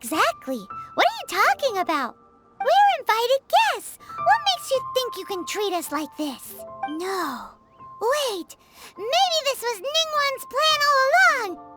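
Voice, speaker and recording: A woman voicing a small, excitable, childlike female fairy companion in a video game, squeaky, naive and quick to take offence. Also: over loud music, heavily acted. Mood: indignant. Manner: refers to self in third person, exclamatory. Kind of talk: video game cutscene voice acting.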